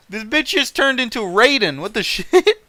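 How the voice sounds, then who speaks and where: A man's voice: very high-pitched, sharp tone, slight background noise. A man casually reading fan fiction aloud and mocking it with sarcastic, sweary, amused commentary.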